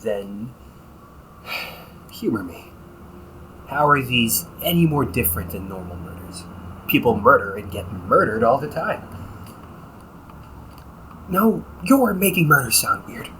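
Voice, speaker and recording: A man's voice: medium pitch, big pitch swings, slow, long pauses, pushed and bright, slight background noise, full character voice, low-quality recording. A man playing a cynical, teasing vampire cop in an audio roleplay, talking in a slangy, sarcastic and flippant way. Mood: exasperated, sarcastic. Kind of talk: audio roleplay, casual conversational acting.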